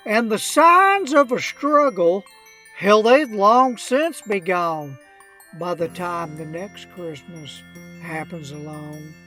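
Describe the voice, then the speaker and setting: Woman playing a slow-talking redneck old-timer. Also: fairly low pitch, animated, fairly bright tone, faint music behind. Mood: smug, wry. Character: crusty old redneck man, gun-toting, wryly unrepentant storyteller. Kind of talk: comedic rhymed storybook narration.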